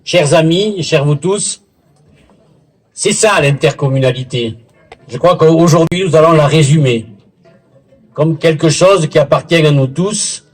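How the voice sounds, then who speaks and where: Husky, slow-speaking male orator. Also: on the high side, low-quality recording. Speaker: local intercommunal president giving a speech. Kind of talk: formal public speech at a village festival, with literary recitation. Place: village festival in Bouisse, addressing a gathering.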